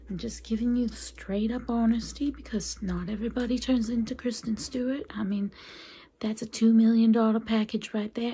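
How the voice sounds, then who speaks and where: A woman's voice: a little high, dark tone, slight background noise. A woman playing a chatty vampire saleswoman in a comedic ASMR roleplay, whispering breathily with rambling, sweetly patronizing endearments. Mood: frank, reassuring. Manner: rambling, folksy endearments, confiding. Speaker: consultant selling vampire transformations.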